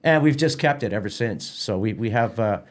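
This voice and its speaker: A man's voice: on the low side, expressive, fairly quick. A middle-aged man with a Canadian accent, giving a casual interview as a theatre company president, often repeating words as he explains.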